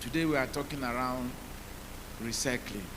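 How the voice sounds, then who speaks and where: A deep-voiced male policymaker on a conference panel, speaking in a measured way and asking the audience rhetorical questions. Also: fairly even intonation. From Nigeria. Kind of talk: panel talk. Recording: hissy conference mic.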